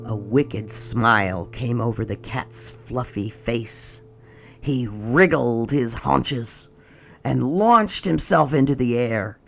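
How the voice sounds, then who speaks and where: A storytime host reading a children's picture book aloud in an expressive, unhurried way. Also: mid-range voice, creaky, soft background music.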